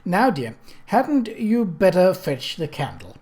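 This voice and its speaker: A brisk older aunt as played by a man reading an audiobook, self-possessed and tart. She speaks firmly, in a fairly high voice for a male reader. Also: big pitch swings, darkish tone, full character voice. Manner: firm, tart. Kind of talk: audiobook character voice.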